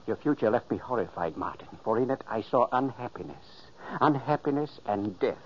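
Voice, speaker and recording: A man's voice: medium pitch, lively intonation, moderate pace, slight background noise, natural voice. An actor playing the ghost of a dead older man, narrating earnestly and pleading to warn his brother, in an old radio play. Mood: solemn. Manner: urgent, pleading. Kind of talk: 1940s radio acting. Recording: dull old radio recording.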